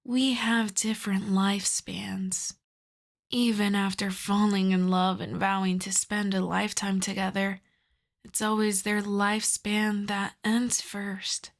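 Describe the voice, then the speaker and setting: A woman acts out a female vampire, possessive and seductive, in an intimate ASMR roleplay. Her pitch is medium and her pace is very slow and lingering. She teases softly, often slipping into a breathy half-whisper. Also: little pitch movement. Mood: wistful, teasing.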